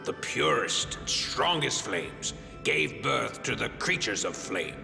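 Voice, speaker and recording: An actor playing a gruff, loyal veteran blacksmith in a fantasy game, with a gravelly voice and solemn, formal delivery. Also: male voice, medium pitch, big pitch swings, takes it slowly, heavily acted. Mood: solemn, resolute. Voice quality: gravelly, creaky. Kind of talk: fantasy video game voice acting. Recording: echoey hall reverb, music behind.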